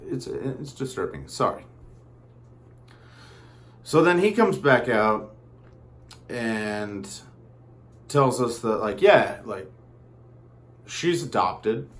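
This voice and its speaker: A man telling a personal story casually, in a rambling, halting way with frequent asides. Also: medium pitch, lively intonation.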